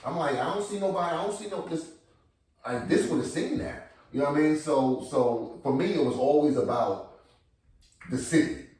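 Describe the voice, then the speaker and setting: Echoey far-off man, animated. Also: a little high, moderate pace. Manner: animated, repeats words, quotes himself in conversation. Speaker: battle rap league figure being interviewed. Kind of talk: casual interview chat. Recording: echoey room, voice picked up from a distance.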